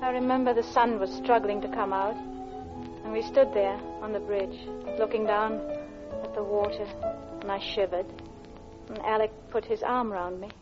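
A woman's voice: medium pitch, steady tone, normal speed, natural voice. An actress playing a guilty, lovelorn married Englishwoman in an old radio drama, with a clipped, genteel English accent and wistful restraint. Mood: sorrowful. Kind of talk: old radio drama acting, first-person narration. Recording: muffled old radio recording, music behind.

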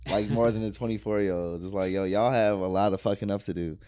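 Low-voiced young man, slangy and rambling. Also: steady tone, fast talker, mellow. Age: 20s. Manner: loose, slangy, rambling with many fillers. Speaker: podcast host. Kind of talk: casual chat.

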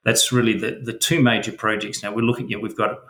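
A man's voice: on the low side, level tone, a little fast, a bit bright, echoey room. A middle-aged Australian man with an Australian accent, answering interview questions as a mining company chief, hesitant and full of fillers and restarts.

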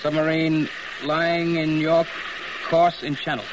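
A man's voice: a little high, level tone, slow pace. An actor playing an obedient ship's crewman relaying messages in a clipped call, in an old radio drama. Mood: brisk, official. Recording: noisy old radio broadcast recording.

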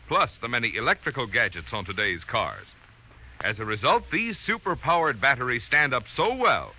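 40s radio announcer guy, polished and emphatic, super lively pitch, sharp tone, vintage broadcast sound. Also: slight background noise, rough recording. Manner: emphatic, polished sales delivery. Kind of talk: 1940s radio announcing, scripted pitch and credits.

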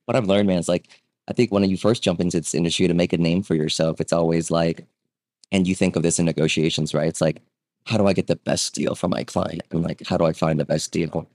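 Very deep-voiced chatty man. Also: fairly even intonation, fast talker, a little mellow, no room sound, clean studio sound. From Vietnam. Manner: chatty storyteller, acts out quotes, many ums and rights. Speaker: guest, real estate agent. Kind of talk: casual podcast conversation.

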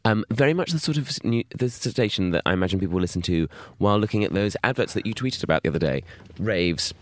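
A man's voice: on the low side, lively intonation, quick pace, no room sound, studio quality. A man co-hosting a podcast, full of teasing, wry banter. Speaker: podcast co-host. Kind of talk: casual comedic chat.

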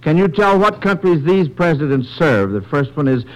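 High-pitched man hosting an old radio quiz. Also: sing-song, poor audio. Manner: halting, encouraging, agreeable. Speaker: quiz show host.

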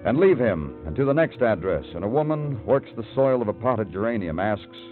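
An actor playing a weary, terse big-city police detective in a 1950s radio crime drama, with clipped, world-weary delivery. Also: male voice, lowish voice, sing-song, fairly quick. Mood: brooding. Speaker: narrator and lead actor. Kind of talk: 1950s radio crime drama, poetic hard-boiled narration. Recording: tinny old radio broadcast with music.

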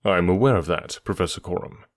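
A composed detective laying out his case in calm, deliberate phrases, as a male narrator plays him. His voice is low and steady. It sounds close and dry. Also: no echo, lightly acted.

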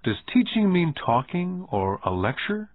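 A man voicing a calm, shrewd older businessman who lectures a boy in a measured, quietly knowing way. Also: high voice, breathy edge, no room sound, pitched above the performer's own voice, full character voice. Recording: tinny phone-like band.

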